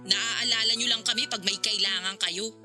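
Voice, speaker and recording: Piercing, bitter Filipino daughter. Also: female voice, medium pitch, steady tone, moderate pace, bright, forceful tone, faint music behind. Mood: bitter, accusing. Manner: sarcastic, accusing, scornful. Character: bitter, resentful grown daughter rejecting her father. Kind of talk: Filipino radio drama acting.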